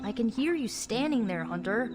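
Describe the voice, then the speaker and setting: An actress playing a chatty, wry young sorceress in a video game, with a breezy, playful conversational manner. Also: female voice, on the high side, lively intonation, normal speed, loud music behind the voice, natural voice. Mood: playful, amused. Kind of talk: video game cutscene acting.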